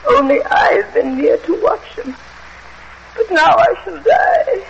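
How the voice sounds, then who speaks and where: An actress playing a frail, dying old woman servant in a radio horror drama, quavering, halting and anguished. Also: very high voice, huge pitch swings, vocal fry, heavily acted. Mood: dying, distraught. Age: older. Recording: muffled, hissy old radio drama recording.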